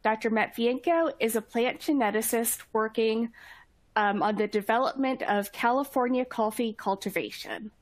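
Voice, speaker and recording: A woman's voice: on the high side, moderate pace, no room sound. A woman reading a scripted introduction as the host and moderator of an online webinar.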